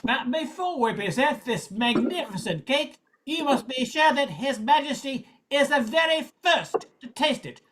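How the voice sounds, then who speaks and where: A woman acts out a proud master baker in a comic radio play. The voice is high, with grand, old-fashioned phrasing, as if instructing an apprentice. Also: expressive, a little slow, few pauses, background noise. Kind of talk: old-time radio comedy acting.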